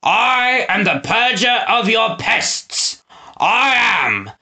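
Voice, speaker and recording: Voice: medium pitch, big pitch swings, extremely slow, pushed and bright, voice pushed up, full character voice. A storybook reader voicing a scheming, boastful villainous man who shifts between a growl and a frilly put-on voice. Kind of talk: storybook read-aloud.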